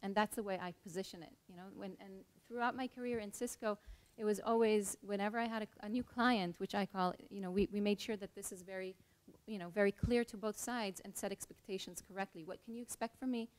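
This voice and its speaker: A woman's voice: mid-range voice, fairly even intonation, a little fast, few pauses. A middle-aged woman from Israel, speaking earnestly on a panel as an HR leader and telling a personal story.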